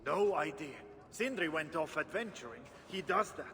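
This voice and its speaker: A man voicing a gruff, nonchalant dwarf artisan in a video game, colloquial and blunt. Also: on the high side, lively intonation, normal speed, bright, forceful tone, in a large hall, slight background noise. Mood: offhand. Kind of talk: video game character acting.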